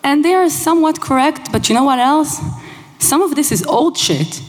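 Brisk woman talking in a big reverberant hall. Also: high-pitched, lively intonation. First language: Hebrew. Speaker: conference speaker. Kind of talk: conference talk. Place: large conference hall.

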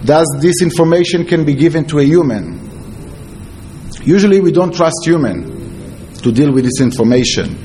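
A man with an Israeli accent giving a conference presentation as a security researcher, in halting, non-fluent English. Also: fairly high pitch, sing-song, dark tone, a little hiss or hum. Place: conference talk before an audience.

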